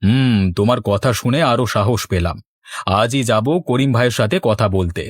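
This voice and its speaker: A man voicing a worried, mild middle-aged village father in a cartoon, speaking in a measured, earnest way. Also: medium pitch, dry recording, clean studio sound, natural voice. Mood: earnest, hopeful. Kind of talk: scripted Bengali cartoon dialogue.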